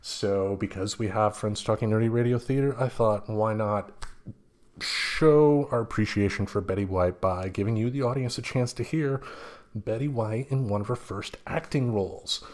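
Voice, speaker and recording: Guy hosting a podcast solo, lowish voice, informal and kinda halting, conversational. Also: darkish tone. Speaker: podcast host. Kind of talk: casual solo podcast introduction.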